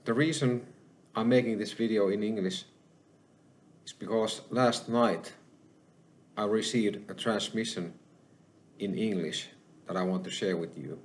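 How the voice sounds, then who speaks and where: A man with a Finnish accent, hosting his own channel and talking alone to the camera, slow and halting, with many pauses. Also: on the low side, steady tone. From Finland. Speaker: channel host. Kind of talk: solo spoken monologue.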